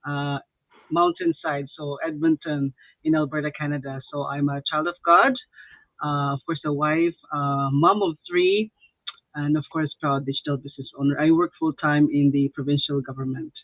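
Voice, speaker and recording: A woman's voice: deep voice, fairly even intonation, normal speed, dry recording. A woman from the Philippines, a guest on a casual live stream, introducing herself in an upbeat way. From Cebu, Philippines. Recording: tinny phone-like line, dry.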